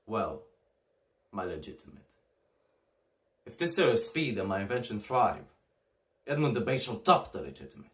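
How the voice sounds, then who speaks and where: A man performing a classical stage soliloquy as a bitter, scheming bastard son, deliberate and brooding. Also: on the low side, very animated. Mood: scornful, defiant. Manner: deliberate, brooding, hissing to declaiming.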